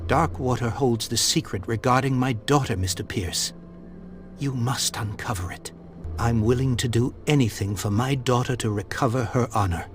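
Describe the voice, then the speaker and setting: An actor playing a proud, grieving old industrialist in a period mystery game, speaking formally and earnestly. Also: male voice, medium pitch, moderate pace, lightly acted. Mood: solemn, urgent. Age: older. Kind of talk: video game voice acting, period mystery drama. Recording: faint background noise.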